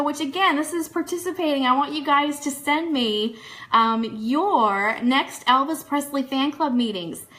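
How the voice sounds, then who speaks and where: Woman, radio show host, fairly high pitch, very bright forward tone, upbeat, chatty, enthusiastic announcements addressed to listeners. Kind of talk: live online fan news broadcast, chatty announcements. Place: studio.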